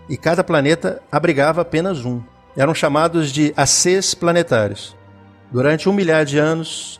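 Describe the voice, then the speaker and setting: A man reading scripted story narration for an audio drama. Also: a little high.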